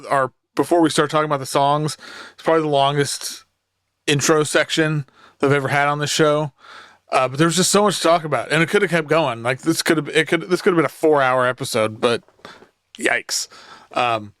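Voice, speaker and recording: A man's voice: fairly high pitch, a bit bright, clean tone, no room sound, studio quality. Enthusiastic man hosting a podcast. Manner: enthusiastic, rambling, many fillers. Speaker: podcast host. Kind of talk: podcast intro and interview.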